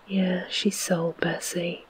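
A storyteller voicing a loyal, gentle young boy, still in a soft whisper. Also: medium pitch, level tone, slow pace, a little hiss or hum, natural voice, low-quality recording. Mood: comforting.

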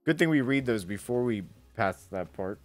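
A man's voice: medium pitch, animated, moderate pace. A man in his thirties chatting casually through a video game with a co-host, swearing and bantering with mock boasts. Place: indoor streaming setup. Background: game music.

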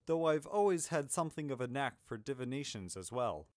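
A man voicing a young adventuring wizard in a fantasy audiobook, earnest, excitable and blithely matter-of-fact. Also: medium pitch, a little fast, no room sound, clean studio sound, natural voice. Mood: proud, frank.

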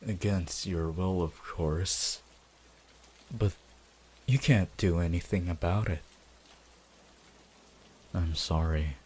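A young anime villain hypnotist, played by a man, deep and very unhurried. He is calm, cold and menacing, drawing out each phrase for ASMR. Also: slight background noise. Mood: coldly mock-apologetic. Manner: drawn-out, deliberate phrasing, mock-polite.

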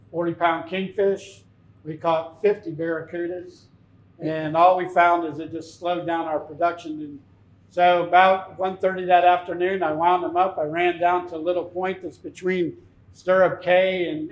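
Man, fifties, informal seminar speaker, plain-spoken, little pitch movement, roomy echo on a lapel mic. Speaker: fishing captain giving a seminar. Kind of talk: informal seminar talk with anecdotes. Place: tackle shop, indoors, speaking to an audience. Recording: echoey shop room.

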